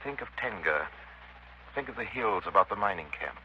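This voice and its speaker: Gentleman sleuth male actor, 1930s radio play: suave, intent, expressive intonation, dull vintage broadcast sound. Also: lowish voice, moderate pace, a little hiss or hum, close to an everyday voice. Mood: intent, hushed.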